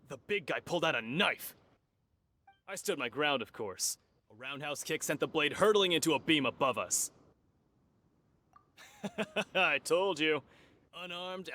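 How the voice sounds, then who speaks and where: A man's voice: a little high, sharp tone. A man playing a cocky, self-important brawler who brags, as dialogue in a video game. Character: boastful, cocky adult male brawler. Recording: faint music behind the voice.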